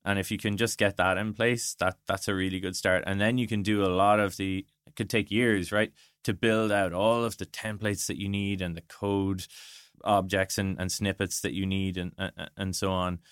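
Man, very low clear voice, conversational interview guest, thinking aloud as he explains. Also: little pitch movement, moderate pace, a bit bright, no echo, clean studio sound. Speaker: interview guest, product design director.

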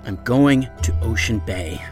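A man's voice: fairly low pitch, lively intonation, a little slow, darkish tone, soft background music. An actor playing a bewildered, curious man caught up in a mystery in an audio drama. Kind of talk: audio drama trailer acting.

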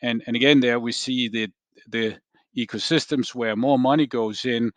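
Danish man explaining haltingly, close dry sound. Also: mid-range voice, steady tone, no room sound. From Denmark. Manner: halting, repeats words as he thinks. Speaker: research analyst, interviewee. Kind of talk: explanatory discussion.

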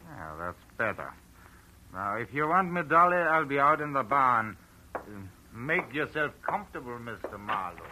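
A stern, older farm boss in old-time radio drama. His voice is mid-pitched, very forceful and swings widely, with a brusque, commanding manner. Faint noise sits on the recording. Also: very animated, normal speed, poor audio. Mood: insistent. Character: stern, domineering older farm owner, a gruff uncle. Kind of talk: 1940s radio acting.

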